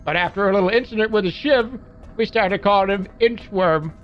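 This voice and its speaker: A man's voice: high-pitched, brisk, dull audio, soft background music, close to an everyday voice. A man playing a wisecracking, gun-loving mech mechanic in a video game, with folksy, slangy talk. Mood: wry. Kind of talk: video game character voice lines.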